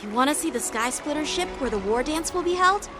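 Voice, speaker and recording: A woman's voice: sits high, expressive, fairly quick. A woman voicing an earnest, eager teenage boy, a proud young knight in a video game. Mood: eager, friendly. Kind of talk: English video game voice acting. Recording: music behind the voice. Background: game soundtrack music.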